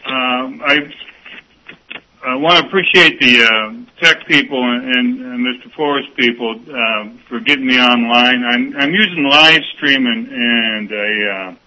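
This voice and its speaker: A man on a school board, speaking through a phone on speakerphone, halting with many restarts. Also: mid-range voice, bright, forceful tone. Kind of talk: public meeting remarks. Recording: dull lo-fi speakerphone audio.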